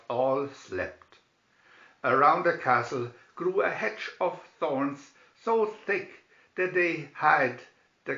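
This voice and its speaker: Man, fairy tale narrator, deliberate reading, medium pitch, roomy echo. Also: a bit bright. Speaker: storybook reader. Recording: dull, roomy amateur recording.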